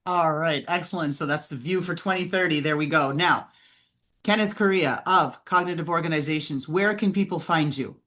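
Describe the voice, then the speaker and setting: A woman's voice: low voice, normal speed, fairly bright tone. A woman hosting a podcast, asking questions in a conversational interview style. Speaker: podcast host.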